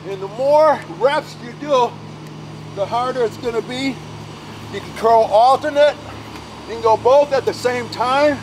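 A man's voice: extremely high pitch, measured pace, noisy background. A man in his forties, a fitness coach demonstrating a workout outdoors at a park playground, talking energetically with casual slang.

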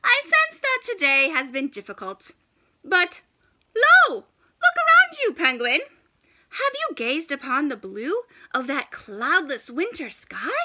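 A woman's voice: high-pitched, huge pitch swings, sharp tone, slight background noise, full character voice. A woman voicing a wise, courteous old walrus in a storybook, speaking in a formal, earnest and slightly pompous way. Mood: solemn, encouraging. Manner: stately, formal, measured, earnest.

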